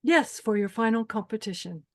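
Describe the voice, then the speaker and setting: A woman's voice: on the high side, expressive, moderate pace, darkish tone. A woman playing a cold, commanding older pageant organizer with a menacing, clipped manner in a screenplay table read. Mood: coolly menacing.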